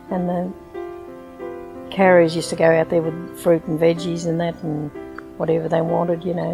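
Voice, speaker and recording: An older Australian woman with a fairly low voice reminisces in a chatty, easy way. She drops in "you know" as she goes. Light music plays faintly behind her. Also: creaky, warm tone. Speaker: interviewee, local resident. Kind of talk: casual reminiscing.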